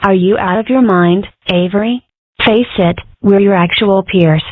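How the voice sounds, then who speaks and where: A woman's voice: medium pitch, measured pace, no room sound, close to an everyday voice. A woman voicing a brash, eccentric cartoon schoolgirl who declares things bluntly and theatrically in an amateur comic dub. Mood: exasperated. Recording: thin, phone-like lo-fi audio.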